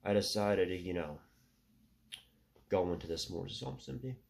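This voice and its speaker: A man in his thirties talking through a rambling casual monologue with a stuffy nose, filling every pause with "you know" and "right". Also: low voice, animated, vocal fry, darkish tone. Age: 30s. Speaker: solo commentator.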